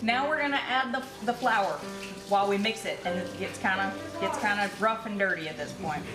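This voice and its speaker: A woman's voice: medium pitch, a little fast. A woman chatting casually in a kitchen, guiding a baking session step by step in an encouraging way. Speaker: family member guiding cookie baking. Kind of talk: casual instructive chat. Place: indoor kitchen, home video. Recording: echoey home video under loud music.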